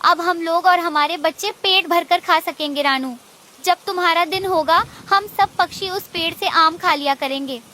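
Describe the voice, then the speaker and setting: A woman voicing a hungry female forest bird, earnest and imploring, in an animated children's story. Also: extremely high pitch, normal speed. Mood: grateful.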